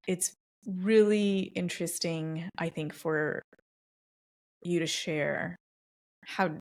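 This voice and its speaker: A woman podcast interviewer with an American accent speaks in a low register with plenty of vocal fry. Her talk is casual and reflective, with thoughtful, loosely built sentences. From Los Angeles.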